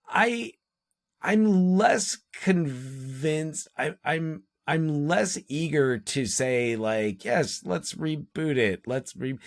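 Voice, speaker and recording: A man co-hosting a podcast, talking casually about movies in a halting way, often restarting his thoughts. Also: a little high, lively intonation, vocal fry, dry recording, studio quality. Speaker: podcast co-host.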